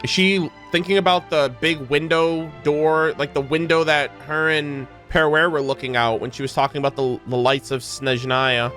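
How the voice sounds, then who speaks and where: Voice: mid-range voice, level tone, normal speed, hardly any pauses, fairly bright tone, faint music behind. A game streamer reacting casually to a story as it plays, exclaiming in slang and swearing freely.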